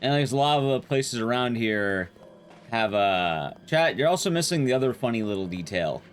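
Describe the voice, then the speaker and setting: A young man with a loud, carrying voice chatting casually with his viewers while streaming a game, rambling and restarting sentences as he goes. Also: normal speed, smooth, soft background music. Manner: rambling, restarts sentences, lively rises and drops in pitch. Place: his room at home, soundproofed.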